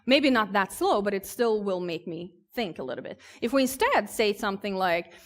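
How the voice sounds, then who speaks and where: A woman's voice: medium pitch, expressive, moderate pace. Swedish woman giving an informal tech talk. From southern Sweden. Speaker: conference speaker. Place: conference stage.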